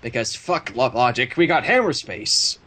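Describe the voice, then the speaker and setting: A man with a husky, expressive voice, reading a story aloud and bantering casually. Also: medium pitch, moderate pace. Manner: animated, expressive. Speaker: fan fiction reader on a livestream.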